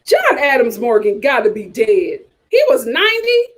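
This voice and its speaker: A woman with a fairly high voice hosts a casual reality TV chat. She is animated and opinionated, talking straight to her viewers as she reacts to their comments. Her delivery is blunt and gossipy, with phrases repeated for emphasis. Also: normal speed, breathy edge. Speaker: host of a reality TV chat show.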